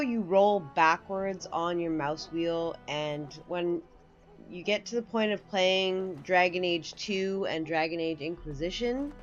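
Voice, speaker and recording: A woman's voice: on the low side, dull audio. A woman giving a casual, conversational walkthrough of a video game as its host, explaining things as she goes. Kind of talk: casual game tutorial commentary. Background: game music.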